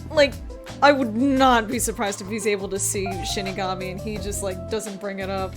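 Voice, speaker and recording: A woman chatting casually during a group gaming session, excitable and given to repeating her words. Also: mid-range voice, normal speed. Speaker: video game playthrough participant. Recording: loud game music behind voice. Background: video game music.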